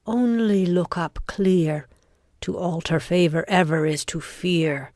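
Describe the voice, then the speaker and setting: A woman playing a ruthless, ambitious noblewoman in a deliberate, intense Shakespearean audiobook performance. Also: lowish voice, darkish tone, no room sound, studio quality. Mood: commanding, scheming. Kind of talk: dramatic Shakespearean audiobook reading.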